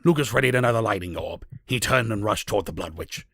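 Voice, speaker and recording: A man's voice: on the low side, lively intonation, quick pace, gravelly, fairly bright tone, a little hiss or hum. A man narrating a scripted fantasy story as the narrator of an audio drama.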